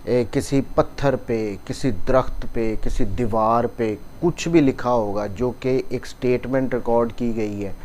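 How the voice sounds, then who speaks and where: A man's voice: medium pitch, dark tone, low-quality recording. A man with a Pakistani accent giving an explanatory law lecture in a didactic way, repeating and rephrasing his points. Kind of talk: explanatory lecture, reading English clauses and explaining in Urdu. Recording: noticeable background noise.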